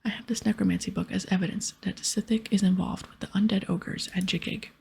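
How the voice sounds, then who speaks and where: A woman voicing an earnest, polite fantasy-game adventurer in a breathy whisper. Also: medium pitch, fairly even intonation, brisk, dark tone, exaggerated voice. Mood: persuading. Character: earnest adventurer investigating a crime.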